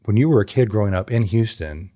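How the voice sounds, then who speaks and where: A man's voice: lowish voice, animated, quick pace, mellow. A man hosting an interview, asking questions in a conversational style. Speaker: interviewer, show host.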